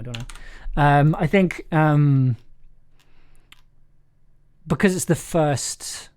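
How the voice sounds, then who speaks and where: A man's voice: mid-range voice, a little mellow. A man hosting a casual script session, giving encouraging notes while searching for his words. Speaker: host and scriptwriter.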